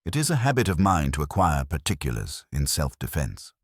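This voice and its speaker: A man voicing a prim, shrewd older woman, genteel and dryly amused, with crisp, precise speech in a storybook reading. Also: extremely low pitch, big pitch swings, a little fast, no echo, studio quality, full character voice. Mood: crisp, relishing.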